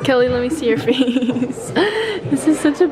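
A woman's voice: high voice, steady tone, normal speed. A young adult woman chatting casually to a handheld camera as she vlogs. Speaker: vlogger. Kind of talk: casual vlog chat. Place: indoor restaurant table. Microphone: handheld camera at arm's length. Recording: loud music over the voice. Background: loud music.

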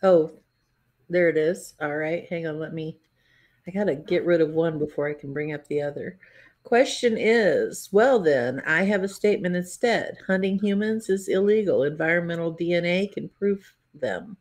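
A middle-aged woman co-hosts a home livestream, reading viewer comments aloud and tossing in wry jokes. Her voice sits low for a woman, and her delivery stays relaxed and conversational. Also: moderate pace. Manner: reads chat comments aloud, wry and laughing. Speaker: podcast co-host. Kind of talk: casual livestream chat. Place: home room.

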